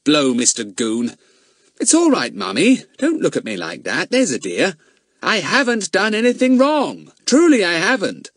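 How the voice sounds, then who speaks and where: Man doing a cheeky polite schoolboy, high, lively voice, mock innocent, dry close sound. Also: moderate pace, dry recording, heavily acted.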